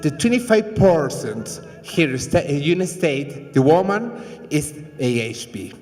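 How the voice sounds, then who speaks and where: A Chilean man, a fashion designer with Spanish as his first language, speaking slowly in halting English at a public event. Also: a little high, big pitch swings, darkish tone. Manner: halting, searching for English words. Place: event hall. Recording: reverberant hall.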